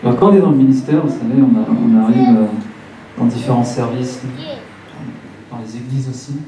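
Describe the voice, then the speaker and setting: Guy in his late 30s preaching a sermon, mellow tone, repeats stuff to drive it home, bit far from the mic w faint noise. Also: medium pitch, brisk. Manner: didactic, repeating phrases for emphasis. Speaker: pastor preaching in church. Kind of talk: Pentecostal sermon with pauses and repeated phrases. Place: church hall, preaching to a congregation. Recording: roomy church, distant mic, faint noise.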